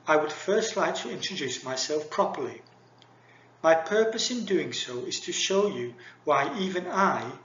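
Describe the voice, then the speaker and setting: A man giving a measured, formal university lecture read from a script. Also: fairly high pitch, a bit bright, slight background noise. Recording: echoey dull lo-fi recording.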